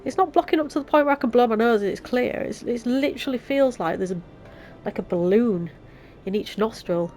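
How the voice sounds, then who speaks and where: A woman's voice: on the high side, brisk, a little mellow, faint music behind. A young woman with a Yorkshire accent and a blocked, nasal voice, chatting casually as she plays a video game. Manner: chatty, self-mocking, laughs often. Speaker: let's play host. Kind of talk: casual gameplay commentary.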